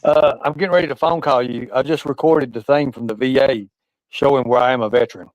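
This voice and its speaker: A man's voice: mid-range voice, quick pace, no room sound, poor audio. A man on a live stream, defending himself insistently and repeating himself. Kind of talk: argumentative live chat.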